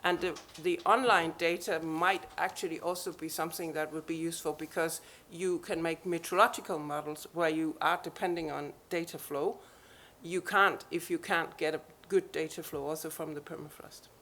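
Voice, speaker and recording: A woman on a conference panel answering an audience question in a measured, explanatory way. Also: low-pitched, fairly even intonation, fairly bright tone.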